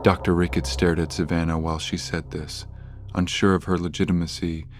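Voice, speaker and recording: An actor serving as the narrator of an audio drama, reading scripted scene descriptions. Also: male voice, deep voice, flat delivery, a little fast, over music, natural voice. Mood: measured.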